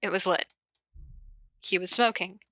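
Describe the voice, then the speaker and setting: A woman's voice: lowish voice, steady tone, measured pace, sharp tone, no room sound. A woman hosting a podcast, casually reading stories aloud and chatting in an informal, giggly, self-interrupting way. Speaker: podcast host reading fan fiction aloud. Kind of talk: casual cold reading of stories and chat with co-host.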